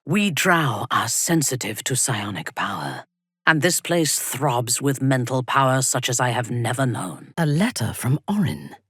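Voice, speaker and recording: An actress playing a haughty, ruthless female drow elf in a fantasy video game, speaking in a low, husky, measured and coldly menacing voice. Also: sing-song, a bit bright, dry recording, clean studio sound, heavily acted. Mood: haughty musing. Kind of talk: scripted fantasy game voice acting.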